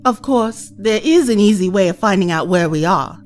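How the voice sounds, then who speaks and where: A woman's voice: medium pitch, animated, moderate pace, soft background music, natural voice. A woman playing a bold, exasperated young companion of a time traveller in an audio drama, with an incredulous, impatient manner. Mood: plucky.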